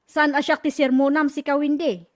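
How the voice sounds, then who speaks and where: A woman's voice: sits high, flat delivery, normal speed, natural voice. An actress playing a busy, neglectful, career-minded older sister and mother in an Ilocano radio drama, with an Ilocano accent. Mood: irritated.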